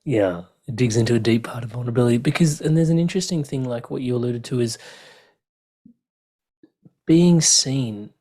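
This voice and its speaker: A man's voice: mid-range voice, moderate pace, dark tone. A man hosting an interview podcast, asking questions in a casual, easy-going way, laughing often and dropping "like" and "bro" as he talks. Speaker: podcast host.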